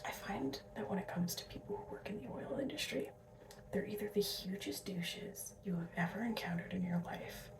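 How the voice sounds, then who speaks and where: A sleep-deprived young woman from Alberta, rambling in a soft whisper with asides and little laughs as she does her drag makeup. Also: mid-range voice, moderate pace. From Alberta, Canada. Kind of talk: casual whispered makeup tutorial. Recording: roomy, mic set at a distance.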